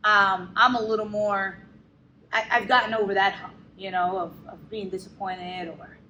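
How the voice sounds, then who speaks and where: A woman co-hosting a live talk show, chatting casually with frequent laughter and quick interjections. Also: medium pitch, fairly even intonation, bright, forceful tone, reverberant, muffled recording, a little hiss or hum. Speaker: co-host and burlesque show host.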